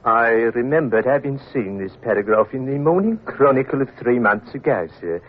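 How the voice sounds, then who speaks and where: An actor playing a hesitant, sanctimonious admiral's clerk in a 1950s radio drama. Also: male voice, mid-range voice, expressive, moderate pace, a little hiss or hum, natural voice. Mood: solemn. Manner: hesitant, hedging, quoting scripture. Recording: tinny old radio broadcast.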